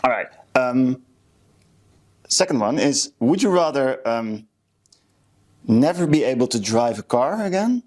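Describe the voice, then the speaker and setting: A man with a Dutch accent talks in an average-pitched voice. He co-hosts a podcast in a casual, chatty style and is happy to be self-deprecating. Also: sing-song, a little slow, long pauses, darkish tone. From Netherlands. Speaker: podcast co-host. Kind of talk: casual chat.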